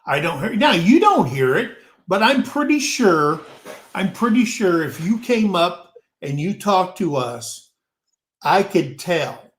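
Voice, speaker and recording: Male host, unhurried, rambling delivery, echoey room. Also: sits high, sing-song. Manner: rambling, repeats himself. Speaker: talk show host. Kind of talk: casual panel chat.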